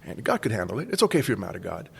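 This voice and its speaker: A man's voice: lowish voice, sing-song, rapid-fire, gravelly, husky, big-room sound. A man in his forties from Cuba, casually telling a personal story as a podcast guest. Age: 40s. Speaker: school staff member, podcast guest.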